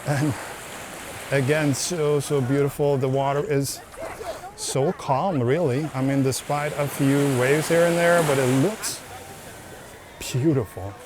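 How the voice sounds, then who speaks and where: A man's voice: medium pitch, fairly even intonation, takes it slowly. A man narrating a casual travel vlog as he strolls along a beach at sunset, talking in an easygoing way and repeating words as he goes. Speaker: travel vlogger. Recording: outdoor beach recording with surf noise. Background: waves and surf.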